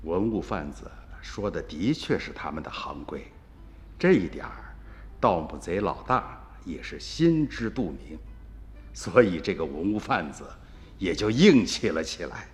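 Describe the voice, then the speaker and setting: A male narrator with a very deep voice tells a radio drama in a steady storytelling way. His pitch moves with lively range, and faint music plays underneath. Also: unhurried.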